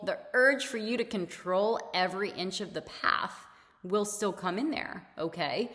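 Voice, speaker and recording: A woman hosting a solo motivational podcast, talking casually and repeating her key points, her voice slightly raspy. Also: medium pitch, a bit bright, long reverb tail. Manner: casual and conversational, repeats key points, laughs between phrases. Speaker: podcast host.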